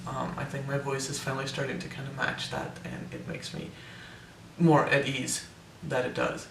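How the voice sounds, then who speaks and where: A man's voice: medium pitch, sing-song, moderate pace, gravelly, fairly bright tone, roomy sound, far from the mic, slight background noise. A young man giving a casual, hesitant personal update, full of ums and restarts, his voice sometimes cracking with air breaking through. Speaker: vlogger.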